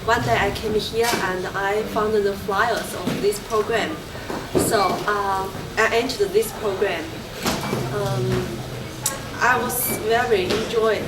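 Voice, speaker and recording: A woman who is not a native English speaker, talking as a programme participant in halting, non-native phrasing. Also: mid-range voice, steady tone, loud music behind the voice. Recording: distant mic, roomy, loud background noise.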